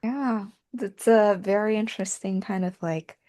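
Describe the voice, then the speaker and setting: A woman's voice: medium pitch, unhurried, mellow, dry recording. A woman in casual podcast chat, speaking in a hesitant, self-deprecating way.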